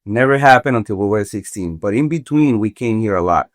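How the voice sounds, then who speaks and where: A man's voice: on the low side, lively intonation, no room sound, clean studio sound. A man in his forties from Venezuela, a contractor talking as a podcast guest, thinking aloud with many restarts. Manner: halting, thoughtful, many restarts. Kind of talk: casual interview talk. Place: podcast studio.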